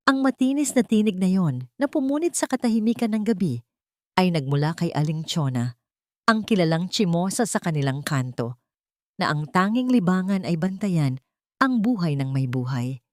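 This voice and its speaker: Woman, lowish pitch, deliberate melodramatic story narration, dry recording. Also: darkish tone, dry recording. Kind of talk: scripted dramatic audio-story narration.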